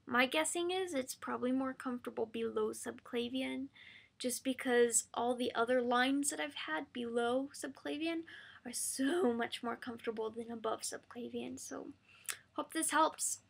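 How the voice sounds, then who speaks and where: A woman losing her voice, speaking softly and haltingly with frequent pauses as she answers a viewer's question. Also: a little high. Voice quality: creaky, hoarse. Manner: soft-spoken, halting with frequent ums. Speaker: patient sharing her experience. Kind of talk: casual video answer to a viewer.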